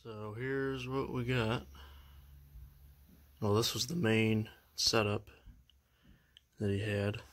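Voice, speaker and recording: A young man chatting casually in a vlog, halting and full of fillers, filming indoors at a house. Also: lowish voice, little pitch movement. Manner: halting, many fillers, unsure. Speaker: vlogger. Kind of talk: casual show-and-tell vlog.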